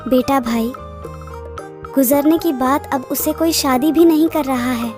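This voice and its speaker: A woman voicing a cunning male fox uncle who flatters and wheedles in a children's storybook tale. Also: high voice, a little slow, voice pushed up. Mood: persuasive. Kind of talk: children's moral storybook character voice. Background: children's music.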